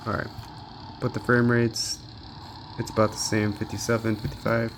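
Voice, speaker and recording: A man's voice: fairly low pitch, level tone, moderate pace, noisy background. A man giving casual gameplay commentary as a handheld gaming reviewer, informally thinking aloud as he tests. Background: video game music.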